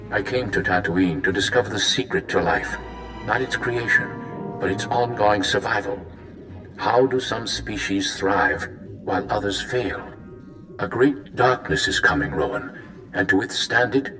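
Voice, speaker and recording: A grave visionary jedi master, deep voice, echoey recorded message, faint music. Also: male voice. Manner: solemn, measured, portentous.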